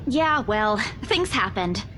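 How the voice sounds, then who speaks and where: A woman's voice: mid-range voice, big pitch swings, slow pace, a bit bright, a little hiss or hum. A woman playing an exasperated, sarcastic young real estate agent in a visual novel, bantering emphatically. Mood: defensive, smug.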